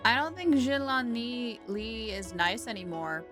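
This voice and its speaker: A woman's voice: fairly high pitch, animated, unhurried, over music. A woman livestreaming a game with casual, chatty commentary, reacting aloud as she goes.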